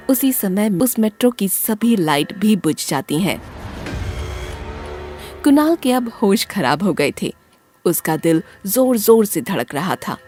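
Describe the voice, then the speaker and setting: A woman's voice: mid-range voice, mellow. A woman narrating a scripted horror story as a dramatic storyteller.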